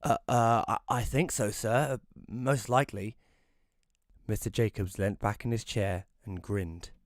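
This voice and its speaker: A man's voice: fairly low pitch, fairly even intonation, unhurried, dry recording, clean studio sound, lightly acted. A man playing a self-doubting young magazine columnist who narrates an audio drama in a wry, hesitant manner. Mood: nervous, polite. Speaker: narrator and lead character. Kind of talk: first-person audio drama narration and dialogue.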